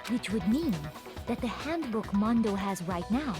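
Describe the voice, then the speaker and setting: Logical schoolgirl sleuth played by a woman, fairly high. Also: lively intonation, measured pace, loud music behind the voice. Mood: composed, deductive. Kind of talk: fan-dubbed visual novel dialogue.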